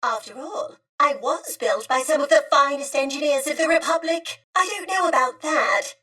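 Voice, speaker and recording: A woman's voice: high voice, moderate pace, pushed and bright, recorded from a distance. A woman voicing a genteel, chatty, two-century-old female droid in a video game, with prim, courteous, wistful and theatrical phrasing. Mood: warm, curious. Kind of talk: scripted video game character acting.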